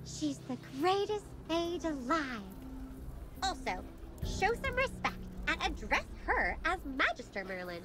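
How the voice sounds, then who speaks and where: A woman's voice: high-pitched, sing-song, a little slow, rough recording. A woman voicing a spirited, proud young adventurer in a game, speaking with indignant feistiness over background music.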